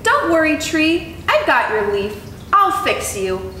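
Woman voicing an anxious, high-pitched little fox. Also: very animated, takes it slowly, bright, forceful tone, full character voice. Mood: earnest, worried. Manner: earnest, pleading, exclaiming. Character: anxious, earnest young male fox, devoted to his tree. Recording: roomy echo, clear background noise.

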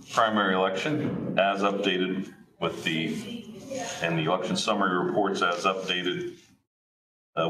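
A middle-aged man chairing an election board meeting in a measured, procedural way, recorded from afar in a county meeting room. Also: deep voice. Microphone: far room camera. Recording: echoey room, distant mic, noisy.

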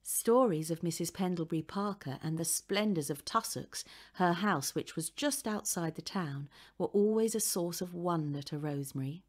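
A woman narrates a children's book. Her voice sits a little low, and she reads in a scripted storytelling style. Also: moderate pace, hardly any pauses. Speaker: audiobook narrator.

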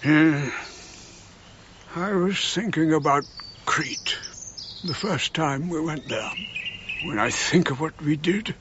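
Slow, querulous old man of ninety. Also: a little high. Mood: brooding. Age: 90s. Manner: halting, querulous, brooding. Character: frail, irritable, wistful ninety-year-old English archaeologist. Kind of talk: radio drama acting. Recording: muffled, noisy, birdsong behind.

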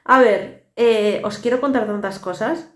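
A woman's voice: fairly high pitch, unhurried. A woman in her thirties, a native Basque speaker with a Basque accent, chatting wearily and rambling into her phone camera in a home kitchen. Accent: Basque Spanish. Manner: chatty, rambling, weary asides. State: tired. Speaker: family vlogger. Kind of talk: casual vlog chat. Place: tiled home kitchen, indoors. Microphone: phone camera at arm's length. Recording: echoey tiled kitchen.

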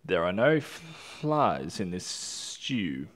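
A man's voice: low voice, sing-song, a bit bright, full character voice. A man voicing a sly old male lizard in a storybook, hissing and drawing out his words. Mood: scornful hissing. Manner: hissing, drawn-out speech. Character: sly, slow old blue-tongue lizard.

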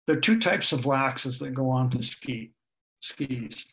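A man's voice: medium pitch, unhurried, gravelly, a bit bright. An older man with a Canadian accent, a ski instructor presenting a webinar from home over a video call, explaining steadily with many fillers. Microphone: webcam or computer mic on a video call.

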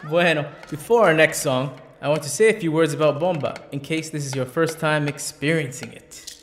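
A man playing a warm, encouraging bomba bandleader in an audio drama. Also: a little high, moderate pace, natural voice. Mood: welcoming, proud. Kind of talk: audio drama acting, addressing a festival crowd. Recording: clear background noise of festival scene.

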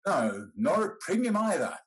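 A man's voice: on the high side, sing-song, normal speed. An older man playing a shrewd, blunt, wealthy old uncle in a period stage comedy, declaiming emphatically. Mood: indignant. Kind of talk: theatrical period comedy acting over video call. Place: indoor room at home. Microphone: computer mic on video call.

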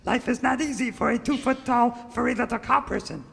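An actor voicing a tiny furry cowboy mystic, a wise chuckling mentor with a creaky voice, in a parody radio serial. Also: male voice, brisk, fairly bright tone, full character voice. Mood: grand, tired. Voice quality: creaky, high-pitched. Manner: solemn, cryptic sage pronouncements. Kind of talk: parody of old-time radio serial acting. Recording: live hall reverb, audience noise.

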